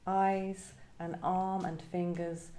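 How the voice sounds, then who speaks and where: A woman's voice: fairly low pitch, little pitch movement, takes it slowly, dark tone. A woman, a poet speaking as a guest at a live literary event in a reflective style. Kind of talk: reflective discussion and poetry reading. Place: echoey indoor venue at a live event. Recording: roomy, echoing live venue.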